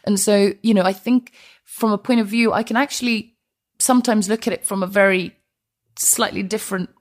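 A woman's voice: mid-range voice, fairly even intonation, moderate pace. A woman from Iceland, a transport historian, speaking English as an enthusiastic, reflective guest in a podcast interview.

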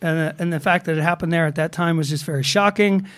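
High-voiced guy co-hosting a podcast, lots of ums and restarts. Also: steady tone, fast talker, fairly bright tone. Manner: rambling with many fillers and restarts. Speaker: podcast co-host. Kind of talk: casual chat.

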